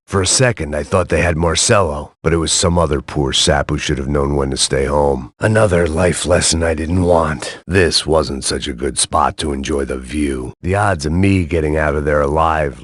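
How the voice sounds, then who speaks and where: A burnt-out, self-loathing ex-cop mutters his hardboiled video game thoughts in a deadpan, sardonic drawl. His voice sits extremely low, and the recording is close and dry with no room sound. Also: dry recording. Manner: sardonic, deadpan noir narration. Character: world-weary middle-aged male ex-cop, bitter, self-loathing. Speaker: game protagonist.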